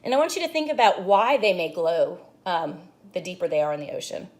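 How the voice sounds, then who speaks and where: Expressive woman reading aloud to kids. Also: on the low side, fairly quick. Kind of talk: children's read-aloud lesson.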